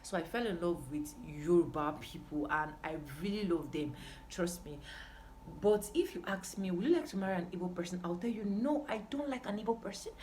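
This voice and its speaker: Low-pitched Nigerian woman vlogger, noisy background. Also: a little mellow. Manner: emphatic, repeats phrases for stress. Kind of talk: casual chat to camera.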